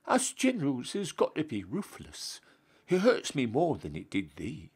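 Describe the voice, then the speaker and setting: A man's voice: medium pitch, huge pitch swings, a little slow, husky, voice pushed up, exaggerated voice. A man voicing a pompous, aggrieved Duke, a ruthless army general in a comic monologue. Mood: reproachful.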